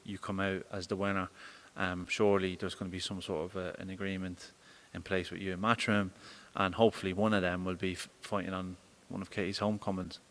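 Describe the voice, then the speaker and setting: A man's voice: deep voice, flat delivery, fairly quick, few pauses, fairly bright tone. A young man interviewing a boxer, rambling and hesitant with many fillers.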